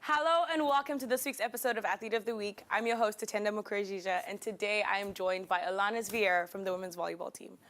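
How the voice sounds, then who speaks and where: Young female interview host, quick pace, eager reactions. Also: medium pitch, fairly even intonation, fairly bright tone, slight background noise. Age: young adult. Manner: enthusiastic reactions. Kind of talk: scripted interview.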